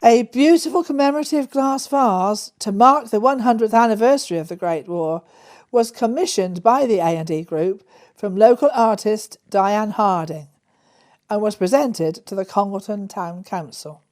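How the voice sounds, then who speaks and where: A woman's voice: mid-range voice, normal speed, a little mellow. A woman reading scripted documentary narration.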